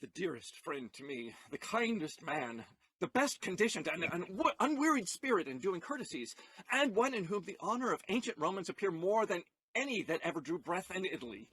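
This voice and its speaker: An actor playing an earnest, distraught young gentleman lover in a Shakespearean audio play, with theatrical, declamatory delivery. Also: high-pitched, big pitch swings, a little fast, husky, heavily acted, rough recording. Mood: fervent devotion.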